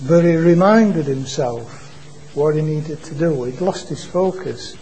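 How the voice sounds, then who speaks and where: Soft-spoken preacher. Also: male voice, on the high side, animated, a little hiss or hum, rough recording. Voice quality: quiet voice. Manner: halting, repeats and restarts words. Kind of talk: informal church sermon. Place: church meeting. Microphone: microphone being adjusted.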